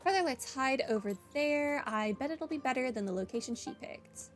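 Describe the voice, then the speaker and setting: A woman's voice: high-pitched, animated, normal speed, faint music behind. A woman giving casual, chatty commentary on her video game playthrough, with wry asides. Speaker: gaming streamer, let's player.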